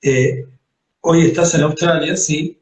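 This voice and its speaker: A man with an Argentine accent co-hosting a casual interview, friendly and halting. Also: fairly high pitch, steady tone. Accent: Argentine Spanish. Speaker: co-host. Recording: echoey room with faint noise.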